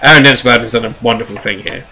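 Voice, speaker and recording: Bright-voiced, rambling enthusiastic man. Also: medium pitch, racing, a little hiss or hum. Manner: casual swearing. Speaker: podcast co-host. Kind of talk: casual fan discussion of sci-fi novels.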